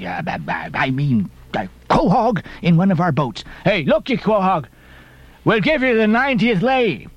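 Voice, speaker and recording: A man voicing a gruff, blustery old sea captain in an audiobook, hearty and exclamatory. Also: high-pitched, fairly bright tone, no echo, pitched above the performer's own voice. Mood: urgent.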